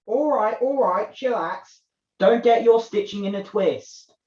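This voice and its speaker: Woman doing a chilled slacker puppet, slow and nonchalant, echoey muffled call. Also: mid-range voice, warm tone, lightly acted. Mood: laid-back. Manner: slangy, nonchalant. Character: laid-back, cool slacker puppet. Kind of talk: comedy puppet show acting. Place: video call.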